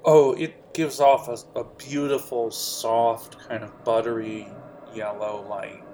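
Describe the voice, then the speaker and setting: A man narrating as game master of a tabletop role-playing game, describing scenes in a halting, lively way. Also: mid-range voice, a little hiss or hum.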